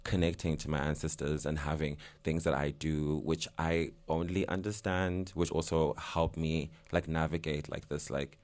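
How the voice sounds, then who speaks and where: A man's voice: rumbling low, little pitch movement, normal speed, few pauses, a little mellow. A young man from Zimbabwe, a guest artist talking casually in an interview, rambling with lots of fillers and laughing often.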